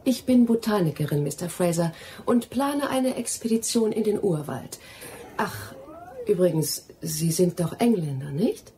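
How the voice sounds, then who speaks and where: A woman's voice: fairly low pitch, animated, a little slow, mellow, slight background noise. An actress in a radio play playing a self-assured young lady botanist, polite, formal and firm. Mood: brisk, determined.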